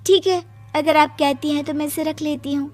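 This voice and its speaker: High-pitched, plaintive poor young wife played by a woman. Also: fairly even intonation, moderate pace, close to an everyday voice. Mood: timid wonder. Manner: pleading, plaintive. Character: poor, meek, sorrowful young village wife. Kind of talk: Hindi moral-tale cartoon dubbing. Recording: music behind the voice.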